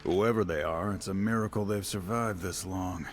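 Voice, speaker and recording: A man's voice: low voice, normal speed, faint music behind, natural voice. An actor playing a brooding, hard-drinking wandering swordsman in a video game, a wry loner with a dry, guarded, laconic delivery. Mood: serious. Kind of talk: understated video game acting.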